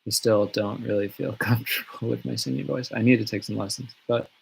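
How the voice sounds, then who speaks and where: A man chatting casually from his home on a livestream, answering fan questions in a relaxed, rambling way with many fillers and restarts. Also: medium pitch, fairly quick, creaky, slight background noise, poor audio. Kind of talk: casual live Q&A. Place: home studio in the countryside. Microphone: webcam or phone on a livestream.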